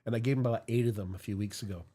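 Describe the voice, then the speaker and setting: A man's voice: lowish voice, rapid-fire, dark tone. Stuffy-nosed guy hosting a cigar stream, rambles and restarts a lot. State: congested from a cold. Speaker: cigar review host. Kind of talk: casual livestream chat.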